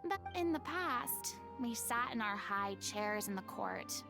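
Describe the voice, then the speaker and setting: An actress playing a proud, expressive young woman in a video game, with an earnest, theatrical way of talking. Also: fairly high pitch, a little slow, vocal fry. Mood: reflective. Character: expressive, proud young woman, former deity turned ordinary. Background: music.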